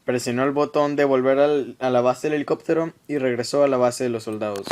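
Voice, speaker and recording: Casting: male storyteller for radio drama, mid-pitched voice, scripted narration. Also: fairly even intonation, a little fast, a little mellow, slight background noise.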